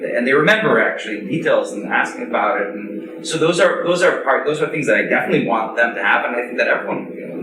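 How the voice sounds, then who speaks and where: A man on a discussion panel, speaking casually and haltingly with many restarts and fillers. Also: on the low side. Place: large room with an audience. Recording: echoey room mic from far off, crowd. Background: crowd murmur.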